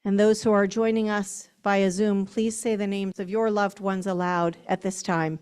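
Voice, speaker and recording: A woman rabbi leading a service, reading and reciting prayers in a solemn, measured, formal way with careful enunciation. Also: mid-range voice, flat delivery, a little mellow, clear, resonant tone.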